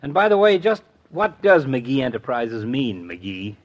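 An American actor playing a sardonic, needling small-town doctor in a 1950s radio comedy. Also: male voice, medium pitch, big pitch swings, a little slow. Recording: dull old radio recording.

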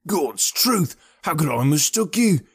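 A man voicing a gruff, stubborn ex-prizefighter porter with blunt, rough working-class speech in an audiobook. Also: on the high side, very animated, a little slow, pitched above the performer's own voice, full character voice. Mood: hearty amazement. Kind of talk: audiobook character voice.

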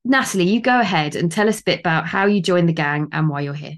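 A woman hosting a podcast, chatting in an animated, candid way and swearing casually. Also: lowish voice, fast. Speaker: podcast host. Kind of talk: casual conversation.

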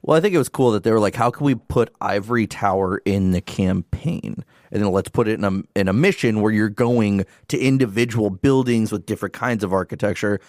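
A man co-hosting a casual gaming podcast, chatty and enthusiastic, with quick asides. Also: on the low side, darkish tone, no room sound, studio quality. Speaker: podcast co-host.

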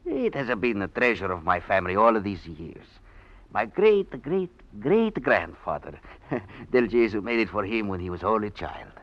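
Old Italian violin maestro, kindly, proud, halting speech, swooping low voice, dull vintage radio sound. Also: male voice, big pitch swings. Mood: proud, reverent. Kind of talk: 1940s radio drama acting.

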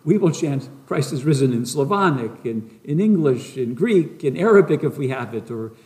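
A man's voice: medium pitch, lively intonation, normal speed, mellow. Thoughtful man, a parish priest. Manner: thoughtful, rambling with restarts. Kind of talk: casual interview answers.

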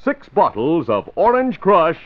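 A man's voice: fairly high pitch, huge pitch swings, measured pace, background noise. A man announcing and narrating in dramatic, emphatic 1940s radio style, on a muffled old broadcast recording.

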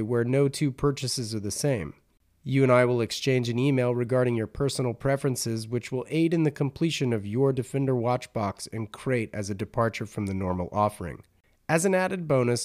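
A man speaks as the host of a podcast. His voice sits at a medium pitch, and he talks as a presenter and interviewer. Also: a little mellow. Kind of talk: scripted narration and interview.

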